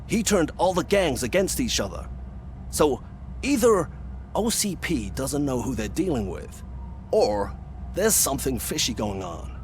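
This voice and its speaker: An actor playing a smug, businesslike prison warden in a video game. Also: male voice, mid-range voice, very animated, unhurried, a little hiss or hum. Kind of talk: video game cutscene dialogue.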